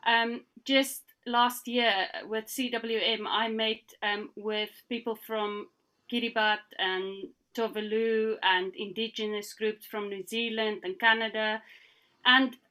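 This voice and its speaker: South african woman on a panel, bright sharp voice, kinda high, lots of ums. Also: level tone, slow. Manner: hesitant, earnest, many fillers. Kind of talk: online panel discussion.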